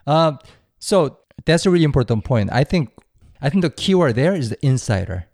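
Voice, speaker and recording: A man's voice: mid-range voice, sing-song, normal speed, a little mellow, dry recording, clean studio sound. A man who is a native Korean speaker, hosting a podcast and guiding a casual interview. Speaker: podcast host.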